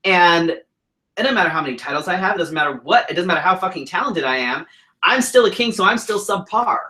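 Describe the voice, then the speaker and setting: Brief: male co-host, bright and forceful tone, blunt and profane, indignant delivery. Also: high voice, animated, fast. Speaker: co-host, drag king performer. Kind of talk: heated casual chat.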